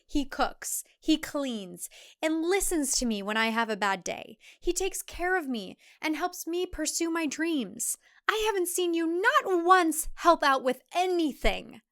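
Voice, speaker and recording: Defiant young thriller heroine, acted by a female narrator, highish voice, emphatic delivery. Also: lively intonation, measured pace, fairly bright tone, exaggerated voice. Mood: indignant. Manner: emphatic, indignant. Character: defiant, resolute young woman confronting a villain. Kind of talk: thriller audio drama acting.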